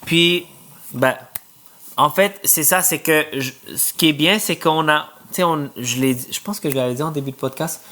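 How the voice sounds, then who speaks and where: A man's voice: a little high, pushed and bright. A man co-hosting a podcast, earnestly thanking the audience as the episode closes. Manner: earnest, thankful, halting restarts. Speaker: podcast co-host. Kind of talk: podcast sign-off.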